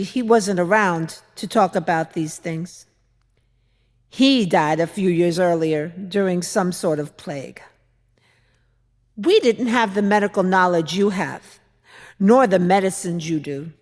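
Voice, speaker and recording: A woman playing a fretful, opinionated widowed mother-in-law from biblical times in a confiding, deliberate dramatic monologue. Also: medium pitch, natural voice. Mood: wistful. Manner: confiding, storytelling, deliberate with rhetorical pauses. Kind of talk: dramatic monologue reading in church. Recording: echoey hall with long reverb.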